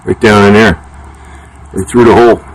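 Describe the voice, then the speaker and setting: A man's voice: fairly low pitch, fairly even intonation, normal speed. A man chatting casually and unscripted as he reviews gear, rambling bluntly with salty slang, outdoors under a carport. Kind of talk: unscripted casual product chat. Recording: noisy outdoor recording with ambient hum.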